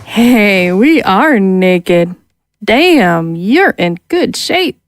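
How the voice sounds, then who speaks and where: A woman's voice: medium pitch, very animated, very slow. An actress playing a flirty, wisecracking woman space smuggler in an audio drama. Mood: cocky, flirty. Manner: swaggering, playful banter.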